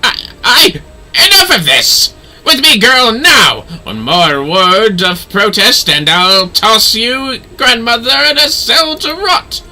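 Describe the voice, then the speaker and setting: A man voicing a bullying, haughty temple knight from a fantasy video game, loud, indignant and barking. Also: high voice, big pitch swings, takes it slowly, soft background music, voice pushed up, full character voice. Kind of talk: video game dialogue read aloud.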